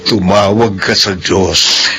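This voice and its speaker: Elder brother, gentle, soothing, bright voice, radio drama. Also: male voice, deep voice, slow pace, soft background music, exaggerated voice. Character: Kuya Conrad, calm caring older brother. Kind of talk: classic radio drama acting.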